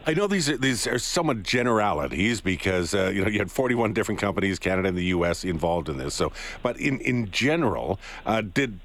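A man's voice: lowish voice, fairly bright tone. A man interviewing a guest, asking long, rambling questions with hesitant fillers and restarts. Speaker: news interviewer.

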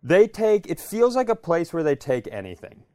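A man's voice: fairly high pitch, big pitch swings, normal speed, warm tone, clear, resonant tone, no echo, studio quality. A man co-hosting a podcast, telling stories casually with wry exaggeration. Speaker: podcast co-host. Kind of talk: casual comedic storytelling.

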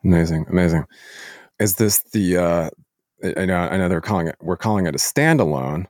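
A man hosting a casual podcast interview, asking meandering questions in a halting way. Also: low-pitched, animated, darkish tone, dry recording, studio quality.

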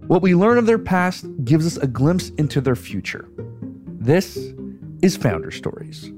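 A man narrates a scripted podcast opening in a wry, storytelling manner. His voice sits a little high for a man. Plucked guitar music plays clearly behind him. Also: sing-song, measured pace. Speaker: podcast host.

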